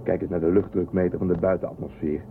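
Decisive space commander, noisy lo-fi. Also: male voice, low voice, little pitch movement, creaky. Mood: commanding. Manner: brisk, authoritative. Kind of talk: science fiction audio drama acting. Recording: narrow, noisy lo-fi radio drama sound.

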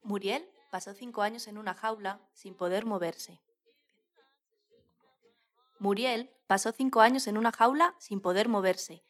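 Medium-pitched woman reading radio narration. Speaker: presenter.

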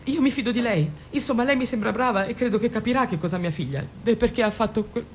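A woman's voice: a little high, fast talker, lightly acted. Actress as an anxious, hesitant mother, faint noise. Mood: nervous. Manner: flustered, hesitant, contradicts herself. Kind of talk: naturalistic radio drama dialogue.

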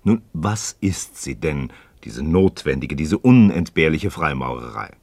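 An actor playing an inquisitive, sceptical friend in a radio dialogue, probing in formal, expressive speech. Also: male voice, extremely low pitch, a little slow, dry recording, exaggerated voice. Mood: sceptical, insistent. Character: inquisitive, sceptical male friend pressing for answers. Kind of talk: German radio play dialogue.

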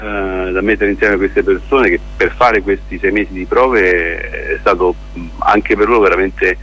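A man answering as a theatre director in a radio interview, explaining in a halting, deliberate way with pauses and repeated words. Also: sits low, fairly even intonation, a bit bright, husky. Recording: muffled, lo-fi radio interview with noise.

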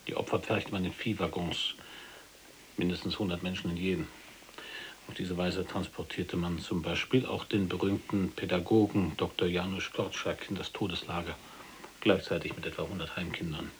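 An actor playing an earnest resistance fighter in prison in an audio drama, speaking firmly and articulately with grave, accusing factuality. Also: male voice, sits low, flat delivery, normal speed, a little hiss or hum, close to an everyday voice. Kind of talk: audio drama, testimony-like narration.

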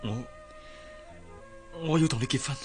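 An actor playing a guilt-stricken, earnest lover in a melodramatic radio drama, pleading emphatically. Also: male voice, mid-range voice, measured pace, a little mellow, faint music behind, natural voice. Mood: earnest, insistent.

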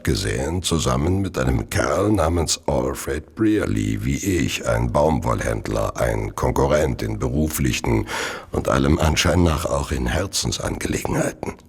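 James Maybrick, gravelly abyss-deep confessing killer. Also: male voice, full character voice. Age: 50s. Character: James Maybrick, drug-wrecked fifty-year-old merchant, confessed killer. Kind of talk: radio drama first-person confessional narration.

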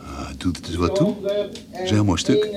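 Radio play: older male charmer, jovial and courting, very lively intonation over a busy crowd. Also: lowish voice, normal speed. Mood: flattering. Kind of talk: Dutch radio drama acting.

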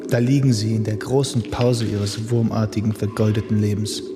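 An actor playing a brooding man who muses in a solemn, hushed, lingering radio play monologue. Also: fairly low pitch, level tone, normal speed, very noisy, close to an everyday voice. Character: brooding man musing over sleeping humanity. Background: music.

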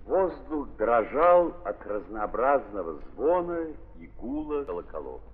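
A man narrating literary prose in a declamatory, deliberate 1930s theatrical style with an ironic edge, on an old archive recording. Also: medium pitch, sing-song, slightly rough. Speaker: narrator of a stage-based radio play. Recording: scratchy, tinny 1930s archive recording with hiss.

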